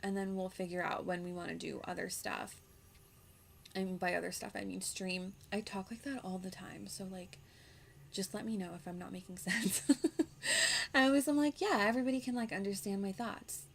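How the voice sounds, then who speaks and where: A woman's voice: medium pitch, fairly even intonation, normal speed, vocal fry, slight background noise. A woman streaming a game with casual, slangy commentary, rambling as she reacts aloud.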